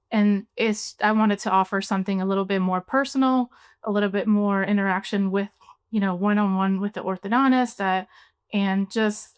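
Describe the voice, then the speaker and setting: A young adult woman from Cuba, an orthodontist, answering interview questions in a relaxed way with many fillers. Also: medium pitch, clean tone. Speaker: orthodontist guest.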